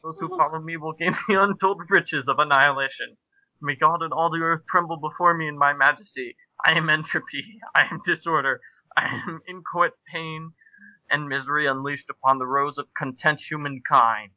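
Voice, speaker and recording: A man's voice: fairly high pitch, steady tone, normal speed, sharp tone, no echo, muffled recording. A man voicing a grandiose, hateful villain of destruction in a pompous, declamatory way, as in a story reading. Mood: grandiose menace.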